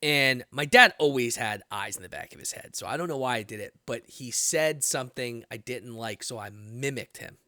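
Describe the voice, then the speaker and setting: A man in his late thirties with a US Northeastern accent, hosting a podcast, blunt and animated, swearing freely as he tells stories. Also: mid-range voice, moderate pace, few pauses, no echo, clean studio sound. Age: 30s. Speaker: podcast host. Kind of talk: casual interview talk.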